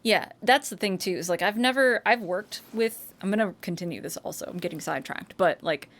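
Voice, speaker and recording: A woman's voice: mid-range voice, brisk, fairly bright tone. An American woman chatting on her live stream in a loose, rambling way. She trips over her own starts, swears freely and breaks into laughter as she goes. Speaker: streamer and voice actor. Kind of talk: casual live-stream chat.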